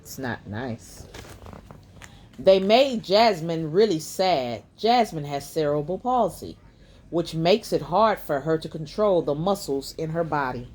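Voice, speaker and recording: Slow-reading woman telling a children's story. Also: deep voice, expressive, darkish tone, a little hiss or hum. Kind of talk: read-aloud bedtime story narration.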